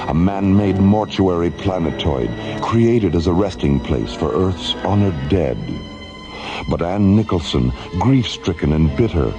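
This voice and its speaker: Sci-fi radio narrator: grave, gravelly, dramatically varied in pitch. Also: male voice, dull audio, exaggerated voice. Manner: solemn, dramatic delivery. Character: grave documentary-style narrator of a space drama. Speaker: announcer and narrator. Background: loud music and effects.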